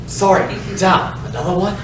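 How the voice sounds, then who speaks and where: A man's voice: sits high, fairly even intonation, normal speed, natural voice. In an echoey, noisy room, far from the mic, a man plays a lovesick detective in a stage noir parody. He is melodramatic and awkwardly smitten, easily flustered. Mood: eager. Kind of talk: comedic staged reading, film noir parody. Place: live staged reading in a roomy space.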